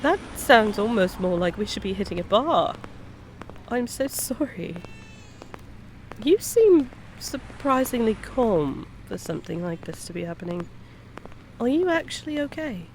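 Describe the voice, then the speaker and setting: A woman acting in an intimate audio roleplay as a kind, gently teasing private eye, talking softly-concerned and conversational to a stranger. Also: on the high side, big pitch swings, a little slow, a little hiss or hum, rough recording. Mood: sympathetic. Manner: soft-hearted, hesitant, questioning, playful asides.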